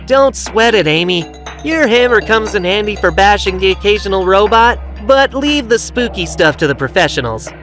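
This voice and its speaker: A man's voice: sits high, lively intonation, moderate pace, fairly bright tone, faint music behind. A man playing a cocky, breezy young hedgehog hero in an audio drama. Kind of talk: audio drama character acting.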